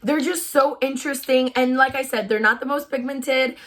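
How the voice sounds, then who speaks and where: Raspy-voiced young woman gushing. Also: high-pitched, steady tone, normal speed, fairly bright tone. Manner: chatty, gushing, many "like" fillers. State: voice tired from shouting. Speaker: beauty vlogger. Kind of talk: casual product review chat.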